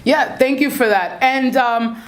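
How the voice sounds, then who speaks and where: A woman hosting an interview show in an energetic, showy way, often calling out to her audience. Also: sits high, unhurried. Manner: showy, emphatic, repeats "ladies and gentlemen". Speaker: host.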